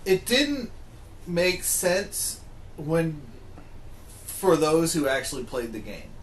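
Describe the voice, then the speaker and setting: A man's voice: fairly high pitch, very animated, slow. A man hosting a podcast, moderating a casual chat among friends and moving the discussion along. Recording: echoey room with background noise.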